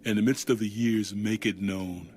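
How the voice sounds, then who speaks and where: A man giving a solemn, dramatic scripture reading in a measured, weighty, declamatory manner. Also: fairly low pitch, fairly even intonation. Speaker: audio Bible reader. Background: music under the reading.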